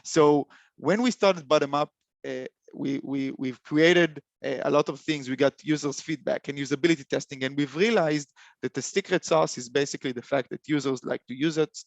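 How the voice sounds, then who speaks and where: A young man with an Israeli accent presenting a webinar, halting speech full of fillers and restarts. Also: a little high, gravelly, dry recording. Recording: dull lo-fi call audio.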